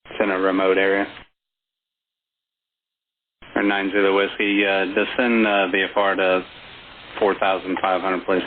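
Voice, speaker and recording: A man's voice: low voice, level tone, a little slow, pauses often. A man working as an air traffic controller, giving instructions over a radio. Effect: radio transmission. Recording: muffled band-limited radio recording with chatter behind.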